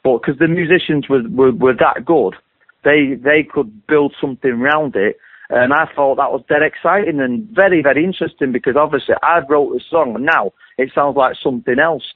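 Man, deep voice, middle-aged, rambling with restarts, dry phone-line sound. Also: fairly quick, dry recording. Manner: rambling, many restarts and repeated words. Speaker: singer-songwriter guest. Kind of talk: casual interview talk.

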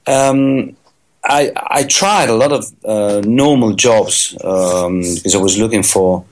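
Halting middle-aged raised man. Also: lowish voice, steady tone. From Italy. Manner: halting, many fillers and restarts. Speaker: musician guest. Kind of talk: interview answers.

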